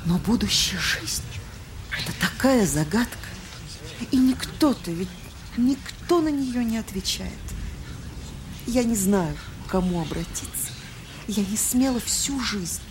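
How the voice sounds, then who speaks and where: An actress playing an effusive, sentimental society lady in a Russian audio drama, with a halting, melodramatic delivery. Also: mid-range voice, expressive, noisy background, close to an everyday voice. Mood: anguished, timid.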